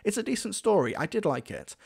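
A man's voice: sits high, very animated, fairly quick, creaky, darkish tone, breathy edge. Conversational young male reviewer. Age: young adult. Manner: opinionated, conversational. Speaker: video reviewer. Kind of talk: scripted review commentary.

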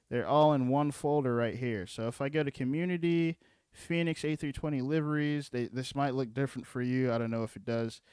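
A man talks through a computer tutorial in an informal, conversational way. His voice is smooth and clear at an average male pitch, recorded dry and close. He keeps checking in with little tags as he goes. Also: a little mellow, no room sound. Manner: informal, repeatedly checking in with "okay" and "all right". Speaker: tutorial presenter. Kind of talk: casual screen-recorded software walkthrough.

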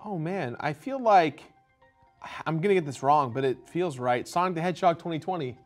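A man in his thirties talks casually as a game show contestant. His voice sits a bit high, and he explains things in a chatty way over light background music. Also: lively intonation, normal speed. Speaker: quiz contestant, guest. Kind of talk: casual panel chat. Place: indoor studio set. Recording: music bed behind the voice.

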